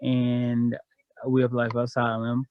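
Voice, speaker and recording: A man's voice: medium pitch, completely flat delivery, a little slow, phone-quality sound, slight background noise. A man from Guyana, a guest in a casual chat, telling a long story in a frank, rambling way.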